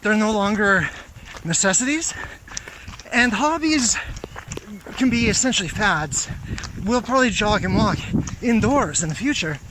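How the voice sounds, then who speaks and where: A man thinking aloud in a rambling, halting monologue, pausing often as he ponders. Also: high-pitched, lively intonation. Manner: halting, pondering, throat-clearing. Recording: noisy outdoor recording with clicks.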